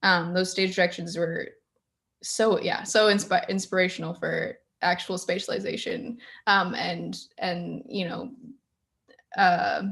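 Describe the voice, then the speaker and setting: A woman's voice: lowish voice, fairly even intonation, a little slow, gravelly, a bit bright. A woman speaking as a sound designer on a casual panel, enthusiastic and emphatic, repeating phrases to make her point.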